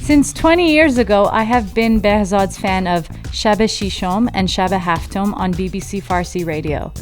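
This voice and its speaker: A woman's voice: mid-range voice, normal speed, faint music behind. A woman co-hosting a podcast, reading listener comments aloud and bantering with her co-host.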